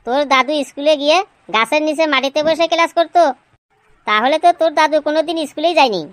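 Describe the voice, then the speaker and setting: A woman's voice: high-pitched, fast, no echo, natural voice. A woman voicing cheeky schoolboys in a comedy cartoon, complaining and pleading in an exaggerated way. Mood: curious, teasing. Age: child figure. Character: cheeky village schoolboys grumbling and questioning teacher.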